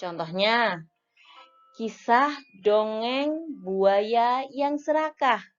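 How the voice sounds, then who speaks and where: A woman with a fairly high voice teaches young pupils, speaking slowly and spelling out each point in turn. The recording is dull and lo-fi, with faint music underneath. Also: expressive. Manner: slow, clear teacherly explaining with list-like enumeration. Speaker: elementary school teacher. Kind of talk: recorded classroom lesson for young children.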